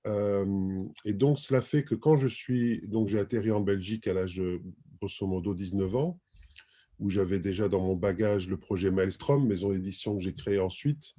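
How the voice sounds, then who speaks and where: A middle-aged man answering interview questions thoughtfully, with many pauses and fillers. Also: fairly low pitch, dark tone. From France. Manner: thoughtful, hesitant with frequent fillers. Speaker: guest writer and publisher.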